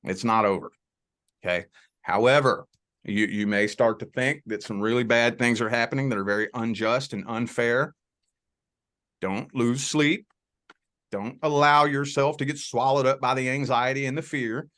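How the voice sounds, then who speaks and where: A man's voice: mid-range voice, fairly even intonation, a bit bright. A man from the Indiana and Kentucky border with a Southern accent, a guest giving a folksy, reassuring tarot reading on a video call. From Southern Indiana near Louisville, Kentucky. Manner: folksy, reassuring, drawn-out emphasis. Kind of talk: spiritual conversation and tarot reading.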